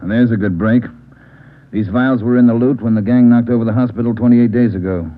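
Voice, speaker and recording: A man's voice: mid-range voice, fairly even intonation, fairly quick, lightly acted. A man acting a firm, commanding police chief in a vintage radio drama. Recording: thin, narrow old radio recording.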